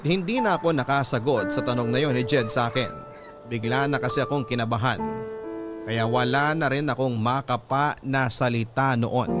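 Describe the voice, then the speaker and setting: A man narrating a listener's letter as a first-person story, in a dramatic radio storytelling style. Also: medium pitch. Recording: prominent music bed behind the voice. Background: background music.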